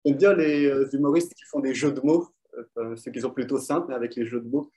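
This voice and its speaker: A man from Belgium, a quiz winner, answering interview questions in French with many fillers and pauses. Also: medium pitch, warm tone. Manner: hesitant, many fillers, thoughtful. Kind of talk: casual interview answers over an online call.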